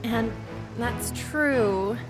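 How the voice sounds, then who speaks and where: A woman's voice: on the high side, extremely slow, poor audio. A woman playing a spirited young airship pilot and tinkerer in a fantasy audio drama, with music behind her. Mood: hesitant, reassuring.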